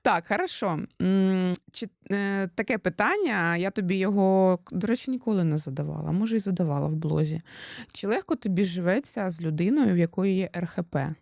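A woman's voice: mid-range voice, animated, brisk, creaky, a little mellow, no room sound, studio quality. Playful chatty young woman host. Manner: playful, teasing, chatty, switches between Ukrainian and Russian words. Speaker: blogger hosting her husband. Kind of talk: casual teasing interview.

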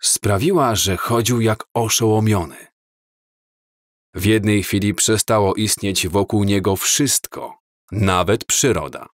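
Male audiobook narrator, unhurried and measured, lowish voice, dry close recording. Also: no echo. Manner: slow, measured, calm reading. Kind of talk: scripted storybook narration.

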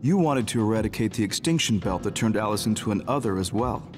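A man playing a composed, dutiful strategist in an anime game dub, calm and measured. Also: fairly low pitch, over music, close to an everyday voice.

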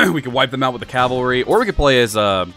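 A young man chatting through a casual let's-play, rambling with many fillers, his voice a little hoarse and wearing thin. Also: mid-range voice, animated, fast, pushed and bright, soft background music. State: slightly hoarse, losing his voice. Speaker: gaming channel host.

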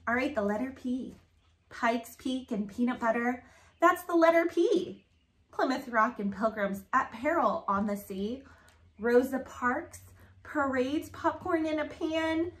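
A woman's voice: sits high, measured pace. A woman in her thirties reading a picture book aloud to children, sing-song and encouraging, in a living room. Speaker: storytime reader. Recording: roomy, echoey home recording.

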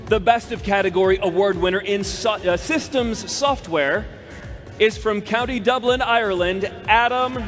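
A high-pitched man in his thirties emcees an awards ceremony, his headset-miked voice ringing through a huge arena. He reads formal announcements and swells to loud, emphatic calls of each winner's name. Faint music sits under the long echo of the hall. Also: normal speed. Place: large arena stage before a big audience. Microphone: headset mic.

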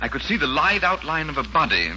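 Polite adventurer, earnest, hesitant, bright and animated, old-time radio drama. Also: male voice, fairly high pitch, fairly quick, full character voice. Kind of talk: 1940s radio drama acting. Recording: muffled, noisy old-time radio recording with hum.

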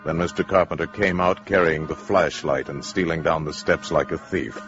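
A man's voice: extremely low pitch, fairly even intonation, moderate pace, fairly bright tone. A man with an American accent narrating a 1950s science fiction radio drama in a dramatic, suspenseful way. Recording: muffled 1950s radio recording, music behind.

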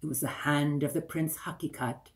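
An older man in his sixties telling a folktale in a dramatic, deliberate way, repeating phrases for effect. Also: on the high side, fairly even intonation. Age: older, 60s. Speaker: storyteller. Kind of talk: dramatic oral folktale storytelling. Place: small room indoors by a window.

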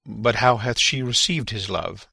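Man acting a stately king, bright and creaky. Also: fairly low pitch, unhurried, no echo, full character voice. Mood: gracious, regal. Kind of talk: classical Shakespearean stage acting, dramatic reading.

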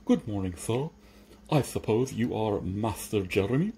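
A husky storybook creature servant, acted out by a man, stitched together and endlessly obsequious. The delivery swings widely in pitch, formal and unflappable, with a grumbling edge as it sells its own services. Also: lively intonation, normal speed, mellow, exaggerated voice. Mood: grumbling, polite. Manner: deferential, formal, salesmanlike.

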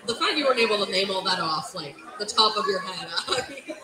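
A woman's voice: medium pitch, animated, fairly quick. A woman co-hosting a casual soccer interview at a brewery event, bubbly and laughing. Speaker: podcast co-host. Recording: echoey room, loud crowd noise.

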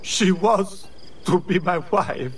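A man's voice: high-pitched, slow pace, slightly rough, heavily acted. An actor playing a grieving man in a video game, pleading and sorrowful as he speaks of his murdered fiancée.

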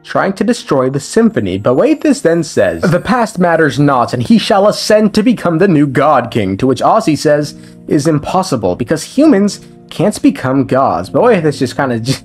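A man's voice: fairly high pitch, very animated, moderate pace, husky. A man giving a casual scripted recap of a video game story as a lore commentator, with irreverent, sweary, slangy asides. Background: background music.

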